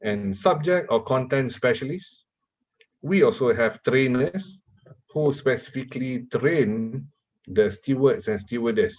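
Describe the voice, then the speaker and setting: Slow-speaking Malaysian man lecturing. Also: mid-range voice, highs cut off. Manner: slow, deliberate, repeats words while asking the audience questions. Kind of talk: university lecture.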